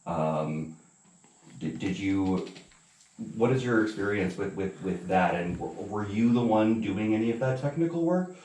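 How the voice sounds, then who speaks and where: A man's voice: on the low side, expressive, measured pace, darkish tone. A man asking questions in a casual interview, hesitant and rambling as he searches for words. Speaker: interviewer, musician. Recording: echoey room, distant mic.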